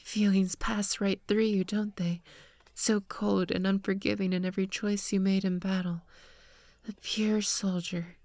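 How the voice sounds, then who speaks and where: A woman voicing a wounded young female marine in an anime fan dub, weak, soft and halting, often slipping into a whisper. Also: mid-range voice, flat delivery, no echo, lightly acted. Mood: reproachful, pleading. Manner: soft, breathy, halting delivery with whispered phrases. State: weak from injury.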